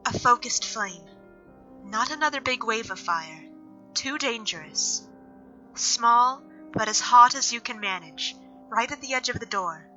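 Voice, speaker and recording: A woman playing an earnest, gentle elf nature mage with a measured, serious delivery in a fantasy audio drama. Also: a little high, expressive, muffled recording, faint music behind. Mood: focused, urgent.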